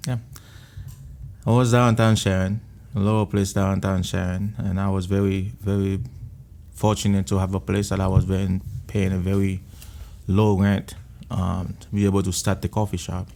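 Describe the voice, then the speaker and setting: Gravelly low-voiced Haitian man telling his story. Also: flat delivery, mellow. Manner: halting, repeats words, earnest. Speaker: restaurant owner and missionary. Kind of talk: personal storytelling in an interview. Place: restaurant.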